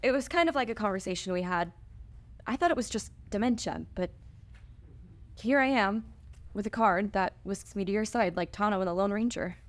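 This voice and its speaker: A woman's voice: medium pitch, normal speed, close to an everyday voice. An actress playing an earnest, outspoken modern woman out of place in a fantasy screenplay table read. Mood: perplexed.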